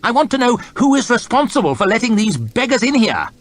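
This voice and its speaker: A haughty lord chamberlain, put on by a male narrator, barks his scorn at everyone in the room. The voice sits high and strained, and it leaps wildly between words. This is storybook reading with full character voices. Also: animated, normal speed, fairly bright tone. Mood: blustering. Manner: arrogant, bellowing and contemptuous. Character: pompous, irritable middle-aged male lord chamberlain.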